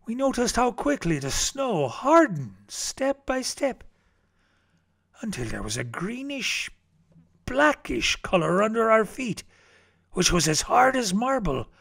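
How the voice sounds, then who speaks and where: A man's voice: high voice, vocal fry, voice pushed up, full character voice. A man reading a storybook as an eccentric explorer uncle recounting Arctic perils in a theatrical manner that savors each word. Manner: theatrical, savoring words, swinging from hushed to urgent.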